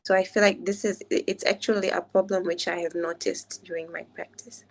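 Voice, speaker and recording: A woman from Tanzania with a Tanzanian accent, a doctor explaining her app on a video call, often restarting words and slowing on key points. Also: fairly low pitch, flat delivery. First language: Swahili. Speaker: doctor pitching a health app. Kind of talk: video call mentoring session.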